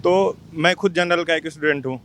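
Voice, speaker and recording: High-voiced man in his late twenties. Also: brisk, a bit bright, a little hiss or hum. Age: late 20s. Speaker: protesting job candidate. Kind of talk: street interview answer.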